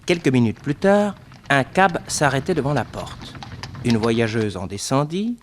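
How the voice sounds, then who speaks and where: A man's voice: medium pitch, big pitch swings, a little slow. Male radio-drama narrator, faint hiss.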